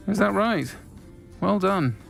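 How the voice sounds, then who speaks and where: An actor with a fairly high voice for a man playing a composed, protective pub landlady in a video game. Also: animated, takes it slowly, vocal fry, fairly bright tone, soft background music, exaggerated voice. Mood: friendly concern. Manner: dry, composed. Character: self-assured pub landlady, protective and unflappable. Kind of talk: cinematic video game acting.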